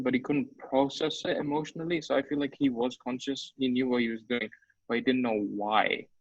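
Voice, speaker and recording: A man's voice: medium pitch, no room sound. A young man joins a casual podcast chat, hedging and repeating himself as he argues. The sound is dry and dull, like a cheap mic. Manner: repetitive, hedging. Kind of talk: casual discussion on video call.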